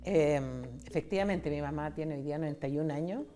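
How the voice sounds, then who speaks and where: Interview guest: Chilean woman in her 60s, slightly low voice, candid personal storyteller. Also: brisk, mellow, faint music behind. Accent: Chilean Spanish. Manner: candid, conversational, retells dialogue. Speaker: interview guest, foundation founder.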